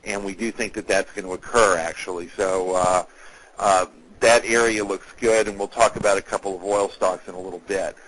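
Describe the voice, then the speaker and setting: A man with a fairly low voice hosts an analyst's briefing. He explains things in a measured, businesslike way, somewhere between prepared remarks and off-the-cuff commentary. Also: steady tone, a bit bright, slight background noise, poor audio. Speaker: market analyst hosting a conference call. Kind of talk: market commentary, semi-scripted briefing.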